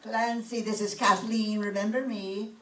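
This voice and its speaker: A woman playing an excitable, sentimental older Irish widowed landlady in an old-time radio comedy, with an Irish accent. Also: mid-range voice, unhurried, lightly acted. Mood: earnest. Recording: echoey room, distant mic, faint noise.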